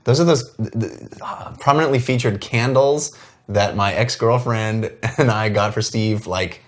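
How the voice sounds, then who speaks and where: A man's voice: lowish voice, a little slow. A man co-hosting a show, making wry, teasing remarks in a casual commentary. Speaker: show co-host.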